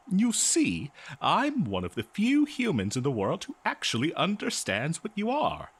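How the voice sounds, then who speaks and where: Man playing a courteous fantasy professor, nervous and effusive, slow halting delivery, very animated swooping intonation, audio drama. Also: a little high, breathy edge, full character voice. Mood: excited, fascinated. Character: eager, nervous, courteous male human professor of ancient civilizations. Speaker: voice actor in an audio roleplay. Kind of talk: fantasy audio roleplay acting, addressing the listener.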